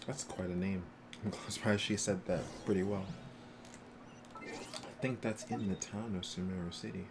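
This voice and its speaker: Deep voiced guy streaming a game, talking casual to chat, noisy game sound behind him. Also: fairly even intonation, moderate pace. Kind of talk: casual livestream chat with viewers. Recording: clear noise behind the voice.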